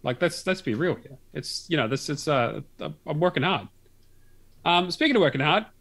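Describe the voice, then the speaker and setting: A man's voice: a little high, lively intonation, moderate pace, fairly bright tone. A man hosting a casual gaming podcast, rambling with lots of restarts and the odd swear word. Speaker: podcast host.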